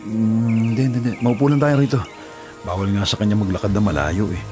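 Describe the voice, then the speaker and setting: An actor playing a devoted, burdened eldest son, hesitant and earnest, in a Filipino radio drama. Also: male voice, on the low side, fairly even intonation, fast, lightly acted. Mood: gentle. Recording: loud music bed behind voice.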